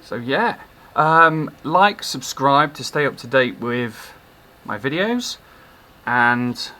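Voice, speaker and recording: Bright-voiced man in a casual hobby vlog. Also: mid-range voice. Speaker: maker showing his work. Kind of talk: casual unscripted product showcase vlog.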